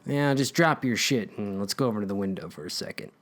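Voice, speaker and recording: A man's voice: fairly low pitch, lively intonation, quick pace, fairly bright tone, pitched below the performer's own voice. A man voicing a smug, menacing older brother in a comic story, theatrical and conspiratorial. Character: menacing, smug bully older brother telling dark hunches.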